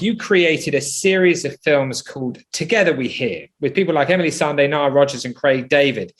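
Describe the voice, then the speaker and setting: A man's voice: on the high side, fairly bright tone. Effusive male interviewer. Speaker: interviewer, charity founder. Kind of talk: conversational interview.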